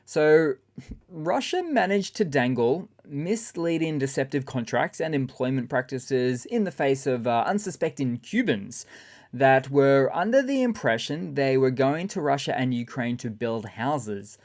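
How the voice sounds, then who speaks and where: A man with a raspy voice hosting a casual, sarcastic news commentary. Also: mid-range voice, lively intonation, measured pace, a little mellow. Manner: sarcastic, chatty. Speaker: channel host.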